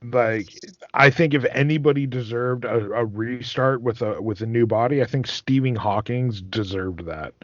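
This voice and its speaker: Earnest male talker, mid-range, casual and slightly tentative, on a dry, softened recording. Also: medium pitch, moderate pace, no echo. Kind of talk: casual chat, earnest opinion. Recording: dry, slightly muffled close sound.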